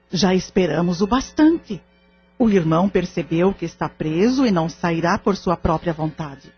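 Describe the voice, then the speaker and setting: A woman's voice: fairly low pitch, natural voice. An actress playing a firm, insistent lady medium, speaking formally and with authority in an audio drama. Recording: muffled lo-fi audio drama recording. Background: background music.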